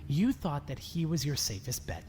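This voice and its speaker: An actor voicing a smug, accusing young male student in an animated murder mystery, deliberate and taunting. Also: medium pitch, slight background noise, close to an everyday voice.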